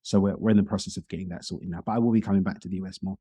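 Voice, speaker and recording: Male interview guest: quick, talkative, close dry sound, conversational. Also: low voice, fairly even intonation, warm tone, slightly rough, dry recording. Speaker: podcast guest, speaker and coach.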